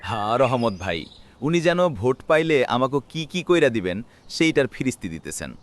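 A man's voice: medium pitch, very animated, normal speed, lightly acted. A man voices a distrustful, complaining cartoon villager with a rural Bangladeshi accent. His intonation rises and falls widely, with a little noise behind it. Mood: scornful. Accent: rural Bangladeshi Bengali. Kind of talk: cartoon voice acting.

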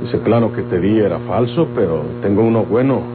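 An actor with a Mexican accent playing an aging, stubborn but calm outlaw father in a radio drama. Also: fairly low pitch, brisk, natural voice. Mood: firm, fatherly. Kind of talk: golden-age Mexican radio drama acting. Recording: tinny old radio recording, music behind.

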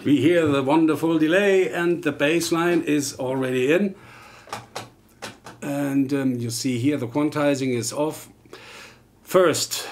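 A middle-aged man whose first language is German speaks English with a German accent. He explains his work in a home music studio in a relaxed, unhurried way. He often pauses and fills gaps with "uh" and "um". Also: mid-range voice. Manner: relaxed, hesitant with many fillers. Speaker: guitar tutor. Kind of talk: casual tutorial talk.

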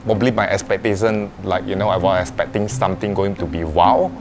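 A man in his forties chatting over dinner in a restaurant, relaxed and hesitant, saying "you know" often. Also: low voice, brisk, over music. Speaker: diner guest. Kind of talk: casual dinner conversation. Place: upscale restaurant dining room.

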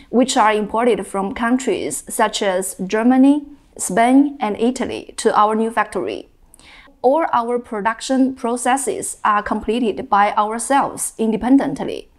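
A woman executive answers interview questions in her office. She speaks carefully, pausing now and then. Her voice sits slightly high. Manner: careful, with hesitations. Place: office indoors.

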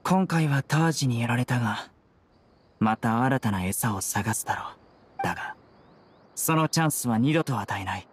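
Man as a stern, measured young judge. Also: mid-range voice, expressive, slight background noise, natural voice. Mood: grave, resolute. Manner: measured, serious, blunt. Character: stern, calm young male judge, resolute. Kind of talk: Japanese anime game voice acting.

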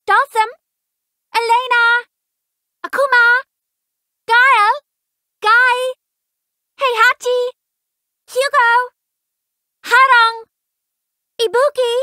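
A woman's voice: very high voice, sharp tone, no echo, clean studio sound, heavily acted. A woman voicing a haughty, refined rich young lady in a fighting game, with a prim, imperious, theatrical delivery. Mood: excited calling out. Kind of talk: video game fight voice clips.

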